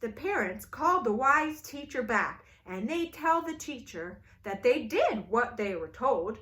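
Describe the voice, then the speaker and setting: A woman telling a folktale at a children's storytime, playful and animated, inviting the kids to join in. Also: a little high. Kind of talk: storytime read-aloud for kids.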